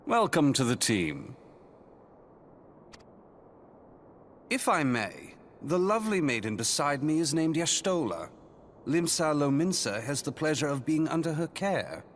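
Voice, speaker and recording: A man's voice: on the high side, very animated, normal speed, slight background noise. A man playing a suave, courtly adventurer in a fantasy game, with a courteous and theatrical way of talking. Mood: charming. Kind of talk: scripted fantasy game cutscene acting.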